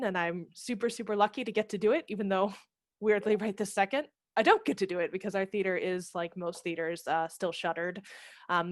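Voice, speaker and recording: A woman's voice: on the low side, no room sound, studio quality. An American woman in her thirties, chatting informally and enthusiastically as a guest in a video interview. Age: 30s. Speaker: theater managing director and actor, interview guest. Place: home room indoors, on a video call. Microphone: headset mic.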